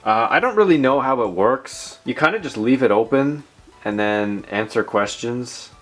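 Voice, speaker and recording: A man hosting a tech video, chatting informally and rambling through a software demo with playful asides. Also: mid-range voice, expressive, moderate pace, soft background music. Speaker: tech channel host. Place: indoors at a desk in a room.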